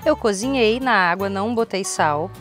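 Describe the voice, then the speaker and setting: A woman's voice: mid-range voice, normal speed, a bit bright, faint music behind. A woman in her thirties with a Brazilian accent, casually explaining a recipe step by step in an informal, chatty way. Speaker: cooking show host. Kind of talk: casual cooking demonstration. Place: open-sided thatched outdoor kitchen. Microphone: lapel.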